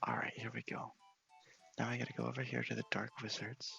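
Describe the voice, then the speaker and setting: A man narrates his gameplay as relaxed-paced ASMR in a low, breathy half-whisper. His delivery is casual and understated, with sharp crisp edges and a lot of fry, over background music. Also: fairly quick, muffled recording. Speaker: video game player and host.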